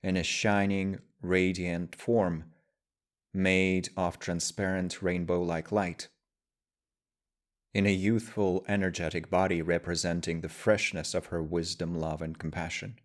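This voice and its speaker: A man guiding a meditation as a teacher, speaking in measured, deliberate phrases with pauses. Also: deep voice, little pitch movement. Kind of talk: guided meditation, slow spoken instruction.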